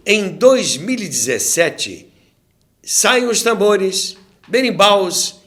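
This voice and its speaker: A man with a Brazilian accent narrating a scripted documentary to his audience, with a measured, dramatic storyteller delivery and deliberate pauses. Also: a little high, a bit bright. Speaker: host and narrator of a radio history channel.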